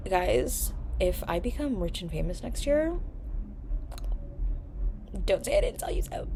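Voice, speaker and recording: Young female vlogger, low-set but bouncy delivery, candid and jokey. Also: slight background noise. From New York. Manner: chatty, animated, swears and jokes. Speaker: vlogger, podcast host. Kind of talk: casual chat to camera.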